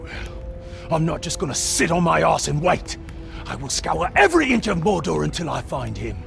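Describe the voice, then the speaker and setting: An actor voicing a small, cheeky, blustering male orc sidekick in a video game cinematic, with an animated and wheedling manner. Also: a little high, moderate pace, creaky, faint music behind, heavily acted. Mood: determined. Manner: animated, wheedling, loudly indignant.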